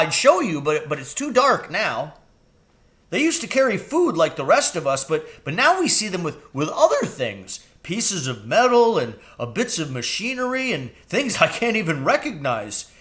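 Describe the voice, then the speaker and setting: A man voicing a young male crow in a children's storybook, chatty, naive and impatient, with an excitable, stammering delivery. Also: high voice, pitched above the performer's own voice, full character voice. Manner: excitable, stammering, swooping upward. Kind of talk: children's storybook read aloud.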